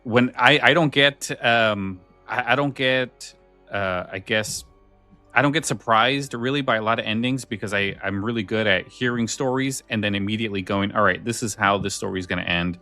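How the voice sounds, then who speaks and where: Guy podcast host, lowish voice, really into it, casual chat, dry sound with faint music. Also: moderate pace, bright, forceful tone, dry recording. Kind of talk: casual chat about scary stories.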